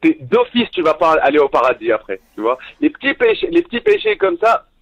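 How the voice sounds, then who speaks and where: A man's voice: fairly high pitch, wildly swooping pitch, creaky, fairly bright tone. A man from Tunisia, a native Arabic speaker, calling in to a live talk stream and talking earnestly with frequent restarts. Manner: earnest, halting with many restarts. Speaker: caller. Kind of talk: call-in talk. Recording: dull lo-fi phone call audio.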